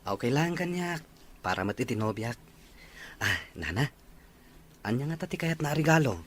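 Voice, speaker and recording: A man's voice: mid-range voice, big pitch swings, moderate pace. A man playing a devoted, hesitant young boyfriend in an Ilocano audio drama. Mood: earnest. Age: young adult. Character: devoted young boyfriend planning a surprise anniversary proposal. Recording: noticeable background noise.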